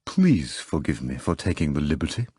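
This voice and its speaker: A man voicing a sly, deep-voiced foreign stranger with a foreign accent, soft and insinuating. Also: very animated, normal speed, breathy edge, no room sound, clean studio sound, full character voice. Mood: polite.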